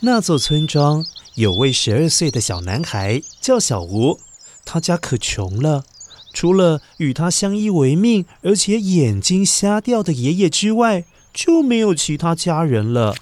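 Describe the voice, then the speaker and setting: A man's voice: on the high side, big pitch swings, a little slow, a little mellow, a little hiss or hum. A man with a Taiwanese Mandarin accent narrating a children's story expressively and dramatically, putting questions to his young listeners.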